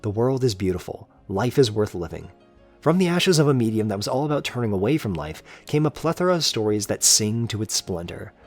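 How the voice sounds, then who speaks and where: A man's voice: fairly low pitch, very animated, fairly quick, a little mellow, soft background music. A man reading scripted, analytical video essay narration in an earnest, emphatic and articulate way.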